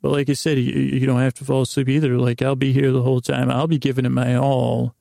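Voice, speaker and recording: A man hosts a sleep podcast in a mellow, dark voice thick with vocal fry. His delivery is a soft-edged, meandering drawl that keeps interrupting itself and wandering into silliness. The intonation stays quite even throughout. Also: mid-range voice, dry recording, studio quality. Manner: meandering, halting, silly, self-interrupting. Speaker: podcast host. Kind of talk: rambling bedtime podcast intro.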